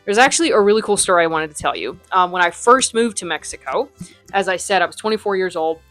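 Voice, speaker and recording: A woman's voice: on the low side, expressive, fast, a bit bright, soft background music. A young adult woman from the United States, a Spanish teacher who runs her academy, answering interview questions in a chatty way full of ums and likes.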